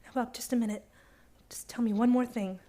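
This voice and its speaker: An actress as a worried, higher-pitched young woman. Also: fairly even intonation, brisk, mellow, natural voice. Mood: hushed, frightened. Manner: concerned, earnest. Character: worried, loyal young woman, confidante of a hunted man. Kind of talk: suspense radio play acting.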